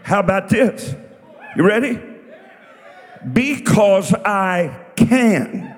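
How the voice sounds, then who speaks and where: Shouting American preacher in his sixties, high-pitched. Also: a faint crowd. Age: 60s. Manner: shouting, exhorting, drawn-out repeated phrases. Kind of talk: fiery Pentecostal revival sermon. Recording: reverberant large hall, music behind.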